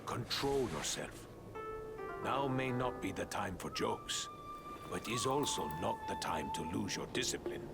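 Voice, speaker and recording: Elderly mentor: formal, admonishing mutant rat sensei, richly varied pitch, cartoon game. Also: male voice, huge pitch swings, measured pace, vocal fry, over loud music, heavily acted. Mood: stern. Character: wise, stern old mutant rat sensei. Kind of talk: cartoon video game acting.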